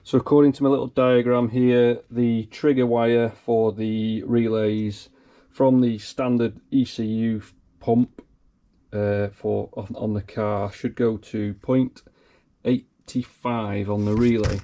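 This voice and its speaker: A man with a slightly croaky voice, casually talking himself through wiring a car in his garage. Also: mid-range voice, flat delivery, takes it slowly, warm tone, highs cut off. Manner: talks himself through each step, thinking aloud. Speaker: car hobbyist. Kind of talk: casual workshop commentary. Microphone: camera mic close to his hands.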